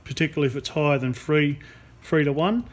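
A man explaining a hands-on radio repair as a technician, unscripted, with a halting delivery and long pauses. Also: on the high side. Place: indoor workbench in a repair workshop. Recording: clear background noise, workshop ambience. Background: workshop room noise.

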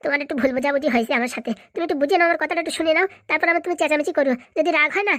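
Woman as a high, fast-talking village fellow. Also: fairly bright tone, dry recording, studio quality. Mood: pleading. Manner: placating, long-winded. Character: pleading, talkative male visitor, wily and persistent. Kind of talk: comic cartoon dialogue.

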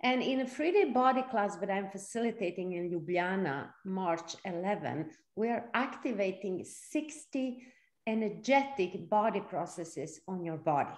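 A middle-aged woman from Serbia and Sweden, teaching conversationally as a seminar facilitator, in short chunks with pauses, on a video call. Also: mid-range voice, animated. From born in Serbia, grew up in Sweden. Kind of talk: conversational teaching talk for live interpretation. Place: indoor room, video call. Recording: echoey room.

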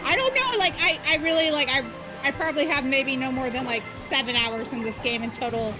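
A woman's voice: sits high, fairly quick, poor audio. A woman around thirty playing a kart racing game with friends, apologetic and giggly as she learns the controls. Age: 30s. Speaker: co-player. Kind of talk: casual gaming chat. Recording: loud game music behind.

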